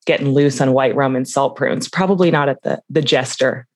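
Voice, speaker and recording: A woman's voice: very deep voice, normal speed, a little mellow, dry recording, studio quality. A woman co-hosting a book podcast, chatting casually and enthusiastically about a short story. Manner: enthusiastic, reads quotes aloud. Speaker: podcast co-host. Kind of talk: casual literary discussion.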